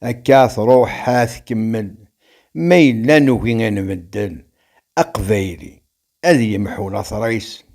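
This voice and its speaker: A man with a Kabyle accent reciting rhymed verse as a poet, in a measured, declamatory delivery. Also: fairly low pitch, creaky, a little mellow.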